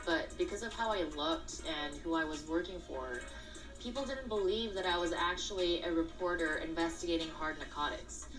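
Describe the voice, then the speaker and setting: A woman journalist narrates a scripted news segment in a measured, polished voice. She sits a little back from the mic, her voice lowish, with faint music running underneath. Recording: distant clip with music underneath.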